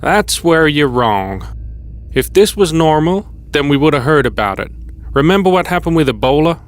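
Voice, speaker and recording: An actor playing a wary older male survivor in an audio drama, gruff and folksy. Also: on the high side, sing-song, moderate pace. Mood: insistent, suspicious. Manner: folksy, plain-spoken. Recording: clear background noise.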